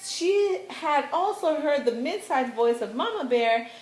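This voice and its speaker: A woman narrating a children's fairy tale for a puppet show, phrasing it deliberately as if reading aloud. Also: high voice, expressive, darkish tone. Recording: echoey room, faint background noise.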